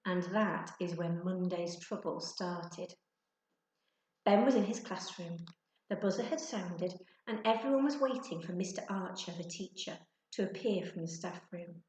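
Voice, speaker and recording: A woman's voice: lowish voice, a little slow, a little mellow. A woman narrating a children's storybook expressively, like a teacher reading to her class. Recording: echoey, roomy sound.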